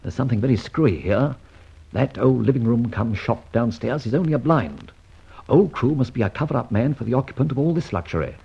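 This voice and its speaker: A man acting a suave, playful gentleman adventurer in an old-time radio serial, with wry, theatrical, mock-grand phrasing. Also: mid-range voice, expressive, brisk, creaky, slightly rough, heavily acted. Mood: brisk, curious. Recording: dull, band-limited old radio recording.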